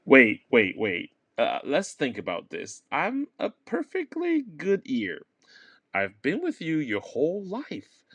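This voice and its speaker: A man's voice: fairly high pitch, wildly swooping pitch, slow pace, a bit bright, husky, no room sound, pitched above the performer's own voice, full character voice. A man voicing a talking body part, an anxious and sarcastic ear in a comic stage play. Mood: pleading. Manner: nervous, sarcastic. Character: talking severed ear, nervous, pleading, sarcastic.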